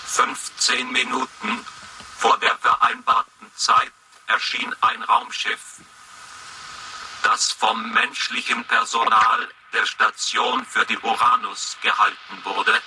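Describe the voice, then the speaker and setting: A polite, literal-minded robot reports over a slightly distant radio link. The voice is sharp, high and husky, with slow, formal, stilted phrasing in a science fiction radio play. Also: male voice, lively intonation, heavily acted. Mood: regretful, formal. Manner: formal, stilted, measured delivery.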